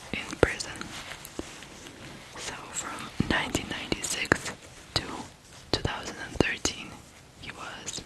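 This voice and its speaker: An ASMR host from Ukraine telling true crime stories in a breathy whisper, in deliberate phrases with pauses. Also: rough recording.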